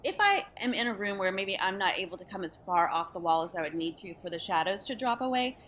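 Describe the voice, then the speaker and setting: A woman's voice: mid-range voice, animated, fast, a bit bright. Polite woman asking questions, background noise. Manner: polite, apologetic. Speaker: audience member asking questions.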